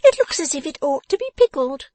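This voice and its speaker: A woman voicing a sharp, nimble little dressmaker, brisk and slyly gleeful in her mockery, as an audiobook character from a Victorian novel. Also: high-pitched, very animated, dry recording, pitched above the performer's own voice. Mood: spiteful relish. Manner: pert, brisk, slyly exultant.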